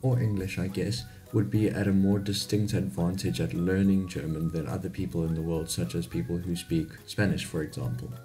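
A man's voice: low-pitched, steady tone, a little fast, few pauses, warm tone, soft background music. A man in his twenties with a South African accent, giving a chatty, informal explainer to camera about languages. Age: 20s. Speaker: YouTube host. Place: small home bedroom.

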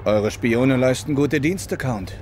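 A man's voice: mid-range voice, slow, darkish tone, faint music behind, lightly acted. A man playing a dignified, skeptical alien king with a regal, wary manner.

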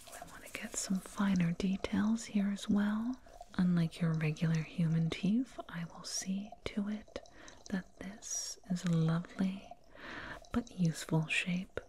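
A woman voicing a soothing, condescending alien dentist in a breathy whispered ASMR roleplay, close to a binaural microphone. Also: mid-range voice, noisy background, lightly acted. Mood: pleased, soothing. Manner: slow, soothing, murmured repetitions. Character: condescending, soothing alien dentist, fussing over a little human.

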